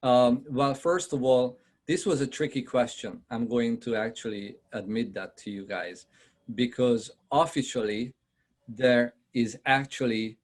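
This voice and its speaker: A man from Hungary with a Hungarian accent, giving a conversational presentation on a video call, often pausing with fillers and asking his audience questions. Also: mid-range voice, steady tone. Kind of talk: online presentation to realtors.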